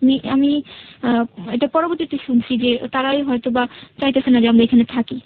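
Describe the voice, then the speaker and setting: High-pitched Bangladeshi woman telling her story. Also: steady tone, brisk, narrow, tinny audio. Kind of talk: personal storytelling in a radio interview. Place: radio studio.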